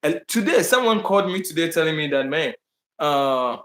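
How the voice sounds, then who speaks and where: A man's voice: a little high, expressive, moderate pace, a bit bright, roomy sound. A man with a Ghanaian accent chatting casually to his viewers, answering their questions in a rambling way with many restarts, fillers and emphatic repeats. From Bahamas, raised partly in Ghana. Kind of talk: casual live chat to viewers. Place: indoors in Brazil.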